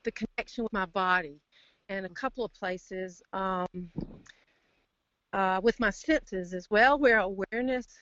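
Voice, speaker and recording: A woman calling in to share casual reflections, halting and searching for words, over a phone line. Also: mid-range voice, a bit bright, no echo. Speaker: caller to a group call. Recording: dull dry phone line.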